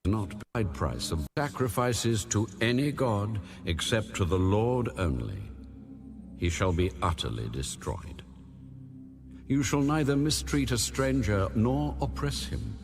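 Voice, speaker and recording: Man, lowish voice, very expressive pitch, solemn dramatic scripture narration, music behind. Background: background music.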